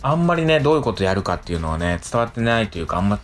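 A man hosting a casual podcast chat, explaining things in a hesitant, halting way with lots of softeners. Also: lowish voice, very animated, soft background music. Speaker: radio show host.

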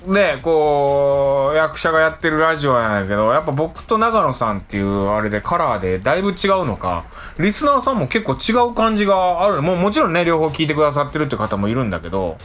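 A man hosting a radio show in casual, conversational chat, good-natured and quick to protest. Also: on the high side, very animated, a bit bright, soft background music.

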